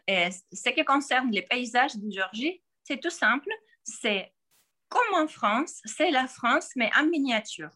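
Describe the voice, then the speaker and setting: A woman in her forties with a Georgian accent, answering interview questions in a halting way, with frequent pauses and restarts. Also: mid-range voice, pushed and bright. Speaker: podcast guest.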